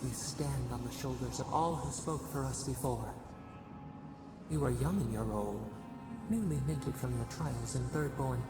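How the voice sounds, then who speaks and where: An ancient spirit of the wild, played by a male actor in a fantasy game, deeply creaking and gravelly. It intones slowly and solemnly, mournful and grand, in a cavernous echo beneath loud music. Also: recorded from a distance, close to an everyday voice. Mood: solemn, wounded. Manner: slow, incantatory, portentous.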